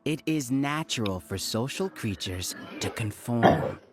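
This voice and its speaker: A man's voice: medium pitch, animated, unhurried, heavy background noise, close to an everyday voice. A man playing an arrogant, scheming researcher who leads a cult, speaking like a grandiose, theatrical video game villain. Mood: grandiose, bitter. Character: arrogant, scheming male researcher leading a hive-mind cult. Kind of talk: dramatic video game voice acting.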